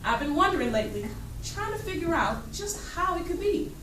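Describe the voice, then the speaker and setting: A woman's voice: very high voice, very animated, fairly quick, fairly bright tone, pitched above the performer's own voice, exaggerated voice. A woman reading a poem aloud as a proud, misjudged young male student, hurt and defiant, with rhythmic emphasis. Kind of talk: spoken poem read aloud. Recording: echoey noisy classroom, distant mic.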